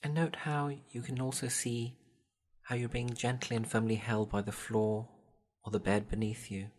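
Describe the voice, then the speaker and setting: A man guiding a meditation in a gentle, soothing, measured way, leaving long pauses between instructions. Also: medium pitch, level tone. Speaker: meditation guide. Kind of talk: guided body scan meditation.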